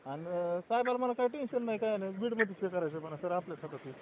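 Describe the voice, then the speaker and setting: A man's voice: high-pitched, quick pace. A man from chats casually on a phone call, often repeating words of agreement. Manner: repetitive, agreeing interjections. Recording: tinny, phone-like call recording.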